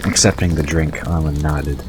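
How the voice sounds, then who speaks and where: A man's voice: rumbling low, fairly even intonation, moderate pace, noisy background. A man reading scripted narration for an audio drama.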